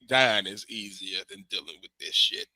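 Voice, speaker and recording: Bright, animated male podcast host with a cold. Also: lowish voice, normal speed, no room sound, poor audio. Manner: loose, animated, slangy banter. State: has a cold. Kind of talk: casual chat. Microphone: remote stream mic.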